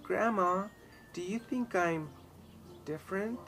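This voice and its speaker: A man's voice: sits high, takes it slowly, bright, forceful tone, pitched above the performer's own voice, full character voice. A man voicing an unsure, sensitive young schoolgirl in a storybook, in a plaintive, hesitant way. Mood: uncertain. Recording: ambient noise behind voice.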